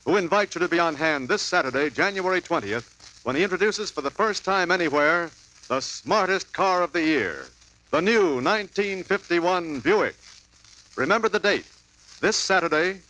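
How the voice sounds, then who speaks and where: A man's voice: high-pitched, lively intonation, moderate pace, bright, forceful tone, poor audio. A male radio announcer reading an ad in a 1950s broadcast, emphatic and promotional. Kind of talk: radio ad read.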